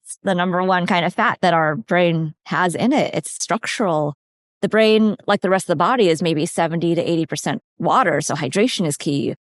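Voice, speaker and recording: A woman's voice: fairly low pitch, moderate pace, dry recording, clean studio sound. A woman from California, a dietitian on a podcast, explaining food and nutrition in a practical, step-by-step way. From California, USA. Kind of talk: conversational expert explanation.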